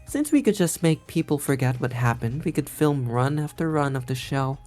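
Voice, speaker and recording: Low-voiced, sly turncoat schemer. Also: female voice, expressive, moderate pace, darkish tone, soft background music. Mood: cold, explaining. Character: sly, cocky turncoat schemer seeking escape. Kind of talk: visual novel game dialogue.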